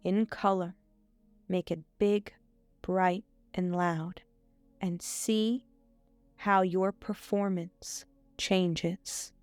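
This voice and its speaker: A woman reading a scripted guided meditation in a soothing, measured way with frequent pauses. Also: fairly low pitch, steady tone, darkish tone, clean tone, no room sound, faint music behind.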